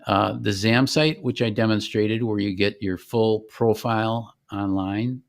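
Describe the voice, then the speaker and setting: A man with a fairly low voice presents his own company's product. He explains it informally, in a conversational, somewhat halting way, as a founder would in a live demo. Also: steady tone, gravelly.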